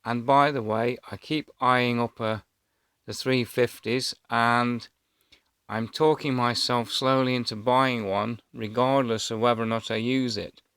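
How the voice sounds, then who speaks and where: Male vlogger, 50s. Medium pitch, close dry sound, rambling and unscripted, feels his way through sentences. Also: steady tone, measured pace, a bit bright, clear, resonant tone, no echo. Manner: hesitant, rambling. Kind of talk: casual personal storytelling to camera.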